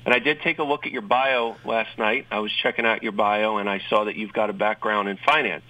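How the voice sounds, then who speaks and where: A man with a New York accent talks in a bright, forceful tone as a guest on a talk panel. He keeps things chatty and drops joking asides, restarting phrases often. His voice comes from a little way off the mic. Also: on the low side, steady tone, a little fast, far from the mic, low-quality recording. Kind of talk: conversational talk show remarks.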